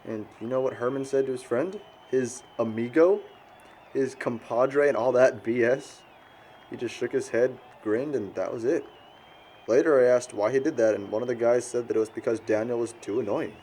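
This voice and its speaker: A young American man playing a crude, mocking loudmouth among friends in an audio drama, blunt and slangy. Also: medium pitch, moderate pace, a little hiss or hum, lightly acted. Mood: scornful. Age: young adult. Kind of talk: conversational audio drama acting.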